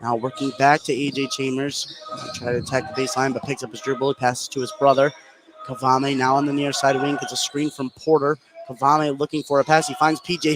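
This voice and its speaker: An American man giving live play-by-play radio commentary on a basketball game. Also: mid-range voice. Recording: clearly noisy gym ambience.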